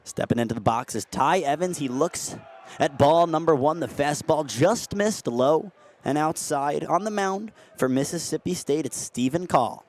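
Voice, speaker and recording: A young man calling a game as a radio play-by-play announcer, steady between plays and rising to excited shouts on big hits. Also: on the high side, moderate pace. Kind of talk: radio sports play-by-play commentary.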